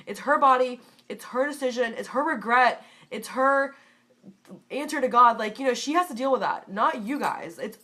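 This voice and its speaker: A young American woman in her twenties with a stuffed-up, congested voice, sitting a touch high in pitch. She speaks her mind bluntly in a casual vlog, swearing freely as she goes. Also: sharp tone. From United States. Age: 20s. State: congested from a cold. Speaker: vlogger.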